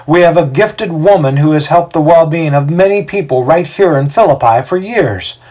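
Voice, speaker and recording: A man's voice: a little high, normal speed, a little mellow, pitched above the performer's own voice, lightly acted. A man voicing a scheming mob leader in a story, indignant and declamatory. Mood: indignant accusing. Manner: declamatory, theatrical oratory. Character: male mob leader, scheming indignant rabble-rouser accusing prisoners.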